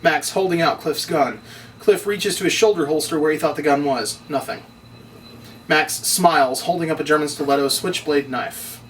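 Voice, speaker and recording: A man's voice: fairly high pitch, moderate pace, a bit bright. A man reading stage directions as scripted narration at a table read. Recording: distant mic, noisy room ambience.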